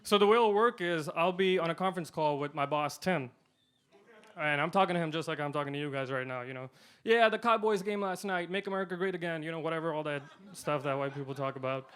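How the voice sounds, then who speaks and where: A man doing a stand-up comedy set, delivering punchlines deadpan and swinging between loud and quiet. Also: on the high side, fast talker, clear, resonant tone. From India.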